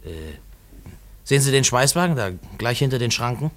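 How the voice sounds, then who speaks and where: A man's voice: medium pitch, very animated, moderate pace, lightly acted. An actor in a German radio play, playing a weary railway gatekeeper in his thirties, humble and talkative, hesitant and colloquial. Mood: eager, explaining. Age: 30s. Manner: hesitant, colloquial, explains with small sound imitations.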